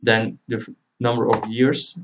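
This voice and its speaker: Dull lo-fi recording, flat-toned male tutorial presenter, native Dutch speaker, faint noise with keyboard clicks. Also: lowish voice, a little slow. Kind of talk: informal screen-recorded tutorial walkthrough. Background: computer keyboard typing and clicking.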